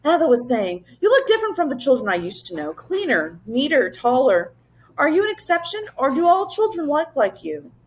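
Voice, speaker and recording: A woman's voice: sits high, very animated, fairly quick, a little mellow, pitched above the performer's own voice, low-quality recording. A woman voicing a regal, chatty old moose-like creature king with a lisp for a children's storybook. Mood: curious, chatty. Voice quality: lisping. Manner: nonchalant, chatty, slightly haughty. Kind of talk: children's storybook read-aloud.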